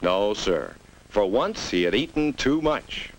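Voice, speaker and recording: A man's voice: fairly low pitch, very animated, slow pace, a little hiss or hum, low-quality recording. An American man narrating a scripted 1950s instructional film for children, teaching through storytelling and leading questions, on a vintage soundtrack. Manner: didactic, storytelling, with rhetorical questions.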